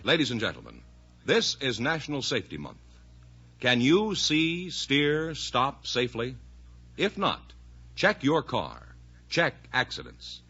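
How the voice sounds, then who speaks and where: Bright, husky male vintage radio announcer. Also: mid-range voice, huge pitch swings, measured pace. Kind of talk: 1950s radio announcing and sponsor reads. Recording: dull, muffled vintage radio recording.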